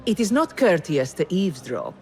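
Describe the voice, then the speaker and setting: An actress playing a poised, shrewd and enigmatic perfumer in a video game, elegant and formal in her measured speech. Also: on the low side, big pitch swings, soft background music. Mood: poised, probing. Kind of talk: dramatic game cutscene acting.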